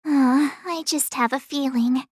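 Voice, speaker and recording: A woman voicing a perky, excitable young traveler girl in a video game, chatty, dramatic and informal. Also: high-pitched, unhurried, no echo, studio quality. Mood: alarmed. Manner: expressive, girlish, informal. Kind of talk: animated video game voice acting.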